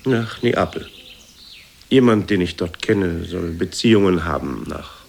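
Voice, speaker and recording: A man playing a weary, reserved grown son in a radio drama, answering in a curt, low-key way. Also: expressive, measured pace, creaky, full character voice. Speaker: actor in a radio play. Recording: radio play with faint background noise.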